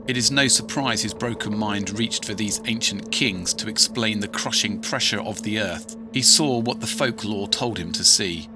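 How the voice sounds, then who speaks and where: A man playing a grave, methodical investigator, narrating a scripted horror case log in a clinical, measured, portentous way. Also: on the low side, lightly acted. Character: grave, methodical male paranormal investigator logging case files. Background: music.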